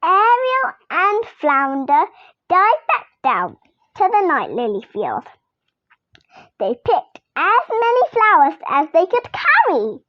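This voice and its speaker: A young girl reading a children's story aloud in an expressive, deliberate storytelling manner. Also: very high voice, no room sound, muffled recording.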